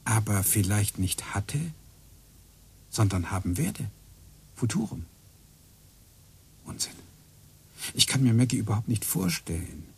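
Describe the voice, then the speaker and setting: A brooding computer engineer in a radio play. He mutters to himself in a gravelly voice with a lively, swinging pitch. He grows more and more agitated as he talks. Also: unhurried, long pauses, slight background noise, exaggerated voice.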